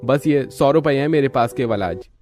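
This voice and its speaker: A man's voice: mid-range voice, lively intonation, normal speed, darkish tone, faint music behind, lightly acted. A man voicing an irritable, grumbling village labourer in a family cartoon, given to complaints and taunts. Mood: subdued. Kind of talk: Hindi family cartoon voice acting.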